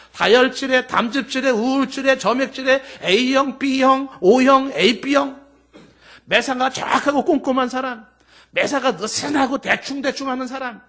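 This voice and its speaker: High-voiced man preaching with emphatic lists. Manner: emphatic preaching with long spoken lists, swinging from loud to soft. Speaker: pastor. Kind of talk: Sunday sermon.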